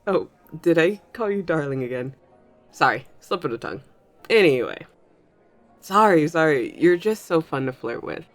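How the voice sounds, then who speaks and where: A woman's voice: sits low, animated, moderate pace, dry recording, soft background music. A woman voicing a flirtatious thunder fae in an intimate audio roleplay, teasing and coy as she addresses the listener. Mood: coy, flirty. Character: flirtatious thunder fae at a New Year's party, smooth charmer. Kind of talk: ASMR audio roleplay, intimate one-sided dialogue.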